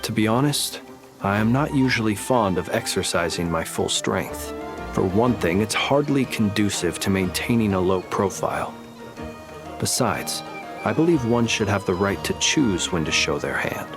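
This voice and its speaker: A man playing a courteous, reserved ally in a video game cutscene, speaking in measured, formal phrases over music. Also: fairly low pitch, expressive. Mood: composed. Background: background music.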